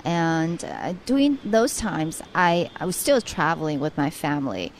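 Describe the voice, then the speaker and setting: Soft-voiced woman from Taiwan. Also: low voice, lively intonation, measured pace, a little hiss or hum, rough recording. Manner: earnest, hesitant with restarts. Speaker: guest. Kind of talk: radio interview.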